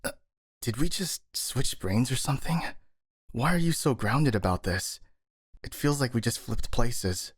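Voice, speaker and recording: A man's voice: mid-range voice, expressive, measured pace, husky, dry recording, studio quality. A man playing a skeptical, worried, exasperated ninja in an ASMR roleplay, with a sighing, doubting manner. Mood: puzzled. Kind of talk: ASMR roleplay audio drama acting.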